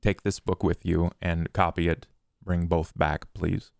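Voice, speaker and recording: A man playing a tabletop roleplaying game, speaking in formal, measured wording as he gives instructions in character. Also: sits low, level tone, vocal fry, a little mellow, no room sound, clean studio sound.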